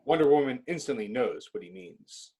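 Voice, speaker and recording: A man with a husky voice narrating a script in a clipped, descriptive reading style. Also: mid-range voice, expressive, normal speed, mellow.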